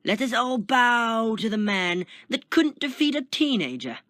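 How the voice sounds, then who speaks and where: A man reading a story, voicing an arrogant, defensive imprisoned supervillain in a gravelly, strained, pompous voice. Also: very high voice, sing-song, measured pace, no room sound, clean studio sound, pitched above the performer's own voice, heavily acted. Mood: mocking. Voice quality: gravelly, strained fake-tough voice. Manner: pompous, shouting, emphatic.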